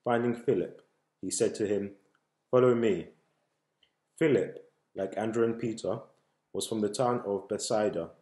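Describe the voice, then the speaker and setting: A man's voice: on the low side, a little slow, pauses often, warm tone. A young man reading a Bible passage aloud, recorded on a device at arm's length in a room at home. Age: young adult. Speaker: scripture reader. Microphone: laptop or phone at arm's length. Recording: echoey room on video selfie.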